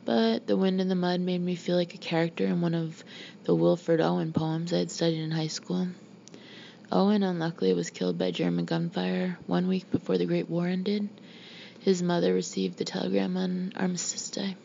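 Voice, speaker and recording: A woman's voice: on the low side, normal speed, creaky, dark tone. A woman reading a memoir aloud as scripted audiobook narration. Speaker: audiobook reader. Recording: dull lo-fi home recording, background noise.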